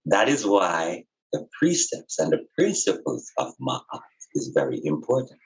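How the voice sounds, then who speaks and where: Man teaching with a lively, swooping delivery. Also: on the low side, a little slow, vocal fry, low-quality recording. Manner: earnest, emphatic, repeats phrases for effect. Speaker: spiritual teacher and podcast speaker. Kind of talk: conversational spiritual teaching.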